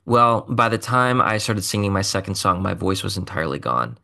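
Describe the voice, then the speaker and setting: A man with a lowish voice and a crisp, bright edge to it. He talks like a musician guest in a relaxed interview, rambling through a story with fillers and a self-mocking humor. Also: fairly quick. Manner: chatty, self-deprecating, loose with fillers.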